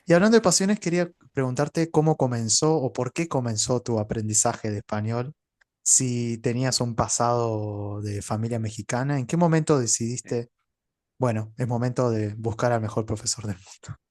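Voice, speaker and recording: A man's voice: medium pitch, normal speed, a little mellow, dry recording, clean studio sound. A man from Uruguay with a Rioplatense accent, a Spanish teacher who explains things in an easygoing way during a casual chat.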